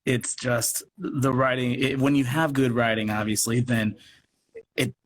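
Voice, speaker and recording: A man's voice: mid-range voice, level tone, unhurried. A man chatting casually as an interview guest, speaking emphatically and with enthusiasm, often restarting his sentences and adding asides. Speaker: guest, theatre company producer. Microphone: video call. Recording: noisy live video call.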